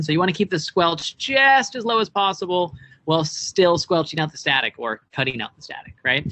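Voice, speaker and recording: A man's voice: fairly high pitch, pushed and bright, a little hiss or hum, poor audio. A young American man giving casual, informal explanations as a guest expert, often checking in with tag questions. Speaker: boat club operations director, guest expert. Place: outdoors on a marina dock.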